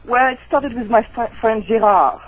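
A woman with a French accent, a native French speaker, calling in as a guest author on a radio interview and explaining things earnestly and excitedly. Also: a little high, moderate pace, husky. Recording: tinny phone line.

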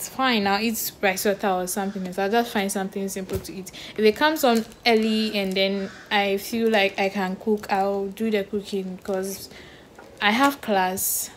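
A young woman with a Ghanaian accent, chatting casually to camera in her room, relaxed and rambling. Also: medium pitch. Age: young adult. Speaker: vlogger. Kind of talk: casual vlog chat. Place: small bedroom indoors. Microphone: phone held at arm's length. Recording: phone audio with clear background noise.

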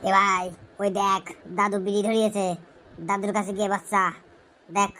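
A woman's voice: mid-range voice, monotone, moderate pace, sharp tone, a little hiss or hum, close to an everyday voice. A woman voicing a nagging, whiny, foul-mouthed friend craving a smoke in a comic cartoon. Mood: demanding, irritated. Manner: foul-mouthed, demanding, pleading.

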